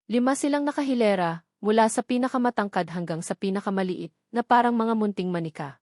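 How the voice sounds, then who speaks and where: A woman's voice: mid-range voice, a little mellow, no room sound. Woman narrating drama, very dry recording. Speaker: storyteller. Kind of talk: dramatic scripted story narration.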